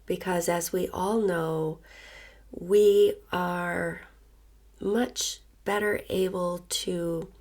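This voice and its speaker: A woman leading a guided meditation in a soft voice that turns breathy at phrase ends, gentle and unhurried with long pauses. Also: fairly low pitch. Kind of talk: guided meditation, slow and soothing narration. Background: soft background music.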